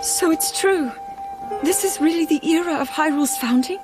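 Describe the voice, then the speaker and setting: A woman playing a gentle, earnest young princess who speaks hesitantly and softly in a dramatic game cutscene. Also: high-pitched, normal speed, over music, close to an everyday voice. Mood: dawning realization.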